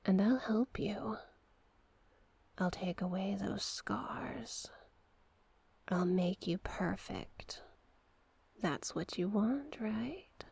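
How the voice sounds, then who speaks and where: A woman voicing a seductive, manipulative sea witch in an ASMR roleplay, doting yet sinister, with a hushed, breathy, coaxing delivery. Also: mid-range voice, dry recording, heavily acted. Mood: hypnotic, whispering. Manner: slow, coaxing, affectionate endearments. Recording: noticeable background noise and ambience.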